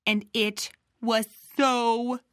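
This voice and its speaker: A woman's voice: fairly high pitch, flat delivery, very slow, a bit bright, clean tone, no echo, studio quality. A woman voicing a bookish pony who dreams of writing novels, in an audio drama. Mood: excited. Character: bookish filly pony, later a brash aspiring novelist. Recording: music behind the voice.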